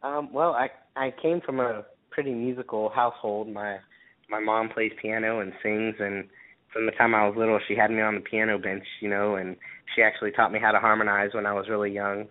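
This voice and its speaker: A man with a low voice talks casually as an interview guest. The sound is thin and phone-like, as on a call-in radio show. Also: little pitch movement, brisk. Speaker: interview guest, folk musician.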